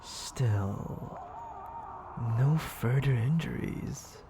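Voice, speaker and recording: A performer acting a suave, haughty demon lord in an ASMR roleplay, speaking theatrically and often dropping into a breathy whisper, close to the mic. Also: mid-range voice, drawn-out words, noisy background. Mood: probing, coolly doubtful.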